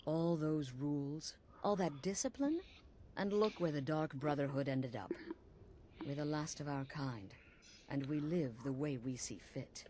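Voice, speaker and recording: Cast: a cold female assassin chief, calm menacing drawl, very low voice, faint hiss behind. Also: measured pace. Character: cold, self-assured female assassin guild leader. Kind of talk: video game dialogue.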